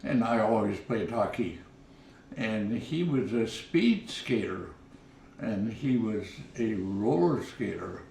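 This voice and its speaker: A man in his nineties from Minnesota, reminiscing in an interview at home, halting and repeating words as he goes. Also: fairly low pitch, expressive, gravelly, darkish tone, reverberant, distant mic, a little hiss or hum. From Minnesota, USA. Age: 90s. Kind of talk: oral history reminiscing. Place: living room at home.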